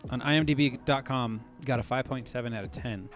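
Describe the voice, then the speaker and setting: A man's voice: mid-range voice, a little fast. A man in his late thirties with an American accent, hosting a podcast in casual, slangy chat. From California, United States. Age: late 30s. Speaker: podcast host.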